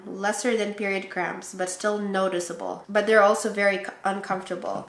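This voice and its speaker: A woman with a Filipino accent chatting casually with her viewers, laughing often and teasing herself. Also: medium pitch. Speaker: pregnancy vlogger. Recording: roomy echo.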